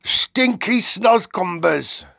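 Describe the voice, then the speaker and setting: A storybook reader voicing a friendly, childlike giant who speaks in effusive, halting, ungrammatical bursts. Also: mid-range voice, expressive, vocal fry, fairly bright tone, no room sound, studio quality, pitched above the performer's own voice, exaggerated voice. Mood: earnest. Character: friendly giant, eager, reverent, childlike, muddling his words.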